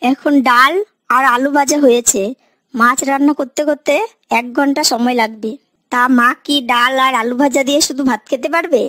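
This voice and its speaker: Cartoon daughter-in-law, played by a woman: very high pitch, quarrelsome, snappy, scheming complainer. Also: steady tone, moderate pace, fairly bright tone, no room sound, clean studio sound. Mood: curt. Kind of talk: cartoon moral story voice acting.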